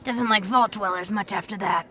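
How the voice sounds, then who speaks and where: An actress playing a grumpy, sardonic, centuries-old irradiated ghoul woman in an audio drama, gravelly and blunt. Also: medium pitch, normal speed, fairly bright tone, some chatter behind, close to an everyday voice. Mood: grim, matter-of-fact.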